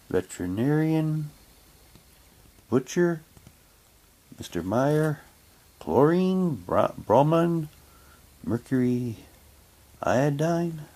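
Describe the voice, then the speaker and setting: A man chatting casually while reading documents aloud, haltingly, with muttered asides to himself. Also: mid-range voice, mellow. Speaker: reviewer unboxing an audio drama's props.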